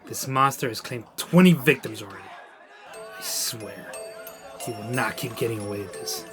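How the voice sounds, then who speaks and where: An actor playing a gruff, irate police inspector in a radio drama, speaking with vehemence. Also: male voice, on the low side, moderate pace, a bit bright, soft background music, close to an everyday voice. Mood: grimly determined. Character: gruff, furious police inspector hunting a killer.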